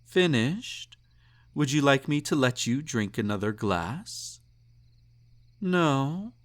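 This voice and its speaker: A man's voice: mid-range voice, expressive, slow pace. A man playing a snobbish, possessive emperor in an intimate roleplay audio drama, with pompous, condescending, teasing speech and ornate formal phrasing. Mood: doting, controlling. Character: snobbish, possessive male emperor, indulgent and slightly tipsy.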